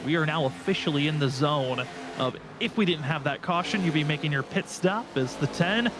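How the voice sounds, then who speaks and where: A man's voice: a little high, normal speed. A man giving live play-by-play motorsport commentary as a race broadcaster. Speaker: play-by-play sim racing commentator. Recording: loud engine and vehicle noise.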